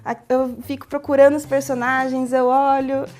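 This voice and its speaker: Brazilian woman, São Paulo interior accent, talkative novelist guest, higher voice, light music bed. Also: moderate pace. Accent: Brazilian Portuguese, São Paulo interior. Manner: talkative, animated, long explanations. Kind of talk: TV studio interview.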